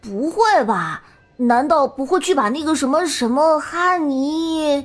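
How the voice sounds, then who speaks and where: A woman's voice: sits high, lively intonation, a little slow, faint music behind. A woman playing an eager, cheeky young boy in an audio drama, talking in a blunt, pestering street-kid way. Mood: curious. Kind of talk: Chinese audio drama acting.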